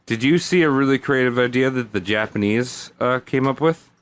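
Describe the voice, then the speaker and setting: A man's voice: medium pitch, moderate pace, clear, resonant tone. A man co-hosting a casual comedy podcast, cracking jokes and swearing as he riffs. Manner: joking, profane, interrupts with riffs. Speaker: podcast co-host.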